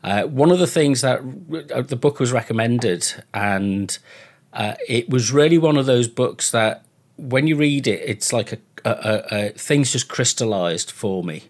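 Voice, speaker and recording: A man's voice: medium pitch, lively intonation, unhurried. A man from Liverpool with a Northern English accent, hosting a conversational podcast interview, sometimes hesitant and repeating words as he goes. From Liverpool, England. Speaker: podcast host.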